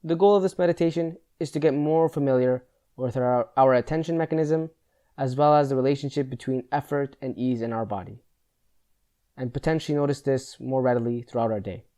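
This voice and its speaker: A man leading a guided meditation, giving slow instructions in a measured, gentle, instructional manner. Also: medium pitch.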